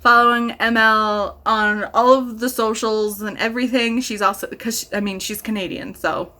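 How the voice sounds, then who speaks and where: Bright, high-voiced woman chatting about favorites. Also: normal speed. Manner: animated, gushing enthusiasm. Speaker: book vlogger. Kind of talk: casual chatty book review to camera.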